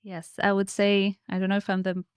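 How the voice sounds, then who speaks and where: A woman's voice: medium pitch, monotone, a little fast, clear, resonant tone, dry recording, clean studio sound. A woman in her thirties speaking conversationally as an interview guest, telling personal stories and explaining her views. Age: 30s.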